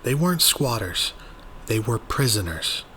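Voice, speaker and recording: A persuasive, brash woman assistant played by a man talking her way out of trouble in an audio drama. The voice is bright and lifted a little, placating in manner, over faint noise. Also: mid-range voice, sing-song, a little slow. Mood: smoothing over. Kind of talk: dramatized audio journal, character dialogue.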